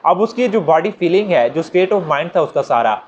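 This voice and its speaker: Higher-voiced Pakistani man lecturing, dull noisy recording. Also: lively intonation, moderate pace. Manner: conversational, acting out hypothetical examples, repeating points. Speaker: law lecturer. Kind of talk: informal explanatory law lecture. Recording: dull lo-fi audio with noticeable noise.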